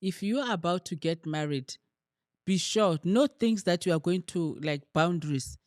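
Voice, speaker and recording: An earnest woman co-hosts a relationship podcast, talking her points through in casual chat and pausing to search for words. The sound is dry and close, with no room echo. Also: on the low side, a little mellow. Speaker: podcast co-host.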